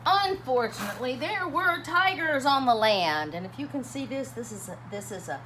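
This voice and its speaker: Expressive woman reading storytime, very lively pitch. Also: moderate pace. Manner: expressive, dramatic, chatty with young listeners. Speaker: teacher reading a children's picture book aloud. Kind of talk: animated storytime read-aloud with commentary and questions. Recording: clearly noisy background.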